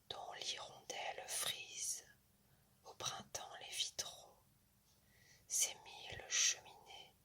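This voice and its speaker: A woman whispers classic verse as an ASMR reading, softly and deliberately, in short measured phrases. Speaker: ASMR poetry reader.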